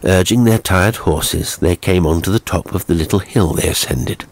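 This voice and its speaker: A man narrating a scripted horror story in a measured, theatrical way. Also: deep voice, gravelly.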